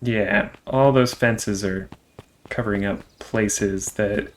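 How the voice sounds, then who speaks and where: A man's voice: lowish voice, steady tone, slow, slight background noise. A man hosting a game playthrough in casual, conversational chat. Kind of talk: casual let's play chat, reading game dialogue aloud.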